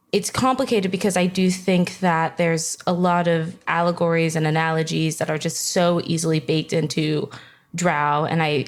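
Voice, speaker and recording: A woman with a fairly low voice talks in a casual panel discussion. She is conversational and leans on repeated phrases to drive her points home. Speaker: podcast panelist.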